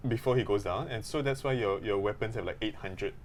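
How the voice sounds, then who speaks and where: A man co-hosting a gaming podcast, chatting casually in a jokey, animated storytelling way. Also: fairly low pitch, brisk, slight background noise. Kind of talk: casual chat about video games.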